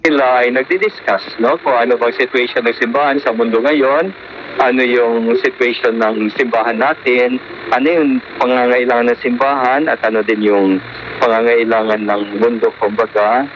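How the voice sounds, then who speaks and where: A man's voice: mid-range voice, fairly even intonation, moderate pace. A man with a Filipino accent explaining things conversationally as a guest on radio, moving between Tagalog and English. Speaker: priest, studio guest. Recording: muffled AM radio capture, faint noise.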